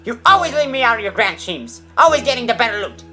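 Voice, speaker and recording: A woman as a sharp, excitable, bitter weasel crook. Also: fairly high pitch, quick pace, soft background music, heavily acted. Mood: bitter anger. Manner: stammering, indignant. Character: bitter, hot-tempered male weasel crook, cornered and resentful. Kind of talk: amateur audio drama acting.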